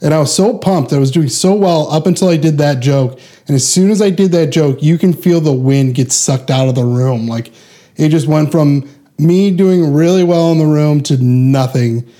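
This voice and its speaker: A man's voice: fairly high pitch, normal speed, warm tone. A man who does stand-up, telling a story earnestly in casual podcast talk. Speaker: stand-up comedian.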